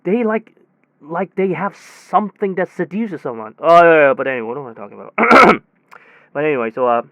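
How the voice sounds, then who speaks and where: A man playing a technician in an audio drama, recounting his workday in a halting, rambling, repetitive way, often interrupting himself. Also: fairly high pitch, sing-song, dry recording, narrow, tinny audio. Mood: awed, searching. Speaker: air conditioner installation technician. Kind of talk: conversational audio drama monologue.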